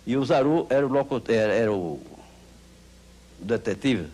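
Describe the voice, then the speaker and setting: A Brazilian man, a radio veteran, recalling his work off the cuff, haltingly and repeating words. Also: medium pitch, lively intonation, a little mellow. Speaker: radio veteran giving testimony. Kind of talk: unscripted spoken reminiscence.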